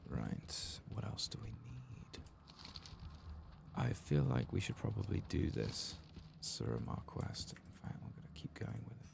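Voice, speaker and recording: A man giving soft-spoken, partly whispered ASMR gaming commentary, casually swearing and thinking aloud. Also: rumbling low, vocal fry, noisy background. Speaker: host of an ASMR game playthrough.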